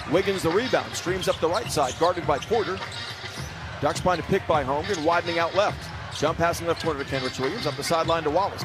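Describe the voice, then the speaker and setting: A man calling live basketball play-by-play for radio in vivid, clipped phrases. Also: sits high. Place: sports arena broadcast.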